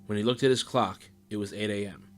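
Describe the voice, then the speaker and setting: A man's voice: lowish voice, fast. A man reading scripted story narration as the narrator of an audio drama. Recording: music under the narration. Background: music.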